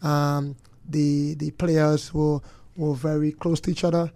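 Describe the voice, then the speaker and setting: Guest: man, thirties, earnest and reflective, mellow tone, dry close sound. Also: a little high, little pitch movement, measured pace, dry recording. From Trinidad and Tobago. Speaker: guest, former player and coach. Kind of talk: casual interview chat.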